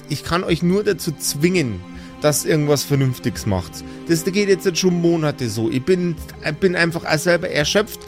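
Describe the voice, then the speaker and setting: A man's voice: on the high side, lightly acted. A man voicing a weary, nagging mother with a southern German or Austrian accent, exasperated and pleading in an improvised audio play. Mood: exhausted pleading. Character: weary nagging mother pushing her grown sons to work.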